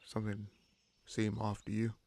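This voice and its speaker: A man playing a kindly but gruff wounded bandit leader in an audio roleplay, speaking to the listener in halting, musing phrases. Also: on the low side, monotone, a little mellow, lightly acted. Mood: thoughtful, suspicious.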